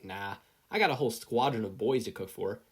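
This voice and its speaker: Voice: mid-range voice, a little fast, breathy edge, close to an everyday voice. A performer voicing a brash, playful woman superhero with an easygoing, slangy swagger, in an audiobook style. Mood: cocky, playful. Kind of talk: audiobook-style character acting.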